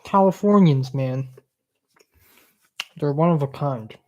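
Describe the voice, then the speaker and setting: A man's voice: on the high side, slow, darkish tone, lo-fi sound. A young man from Hong Kong with an American accent, chatting casually on a livestream and answering viewer questions with blunt, self-mocking remarks.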